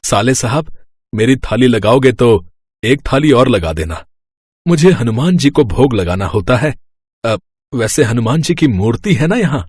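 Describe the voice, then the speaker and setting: A man playing a devout, stubborn, gentle husband who speaks earnestly and insistently in a moral story. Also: mid-range voice, big pitch swings, a little slow, breathy edge.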